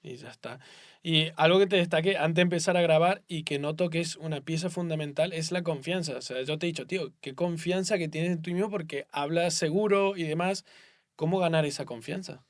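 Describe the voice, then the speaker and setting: A man's voice: on the high side, a little fast, dry recording, clean studio sound. Young casual male podcast host. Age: young adult. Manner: casual, curious, affirming. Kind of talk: podcast interview questions.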